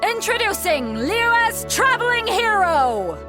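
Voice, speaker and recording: Bold, animated lady captain. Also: extremely high pitch, takes it slowly, full character voice. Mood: rousing announcing. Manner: commanding, informal, showy. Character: bold, commanding female ship captain, brash. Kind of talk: English video game voice acting. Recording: music underneath.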